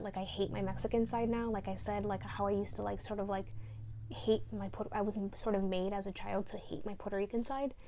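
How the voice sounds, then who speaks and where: A young woman talks through her thoughts in a soft, mellow voice, hedging with fillers and slipping into near whispers. It sounds like a casual chat filmed in a bedroom by a camera set up facing her. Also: medium pitch. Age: young adult. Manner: reflective, many fillers, drops to a near whisper at times. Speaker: podcast co-host. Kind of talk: candid personal chat. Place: bedroom, seated on a bed.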